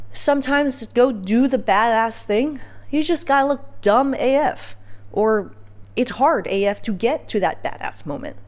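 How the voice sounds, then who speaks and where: A woman's voice: fairly high pitch, a little mellow. A woman hosting a podcast, telling personal stories in a casual, candid way with informal slang and emphatic phrasing. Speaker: podcast host.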